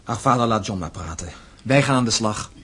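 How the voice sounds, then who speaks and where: An actor in a radio play as an eager, dreamy young gentleman, a dutiful and enthusiastic son. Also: fairly low pitch, expressive, brisk, breathy edge, full character voice. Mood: reproachful, earnest.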